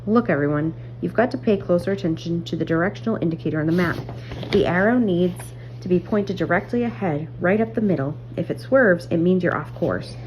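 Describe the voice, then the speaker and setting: A woman's voice: lowish voice, expressive, a little fast, a little mellow, close to an everyday voice. A woman voicing an earnest, patient young miner who leads a team, instructing emphatically in a storybook reading. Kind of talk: acted dialogue in a storybook reading. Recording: muffled audio, clear background hum.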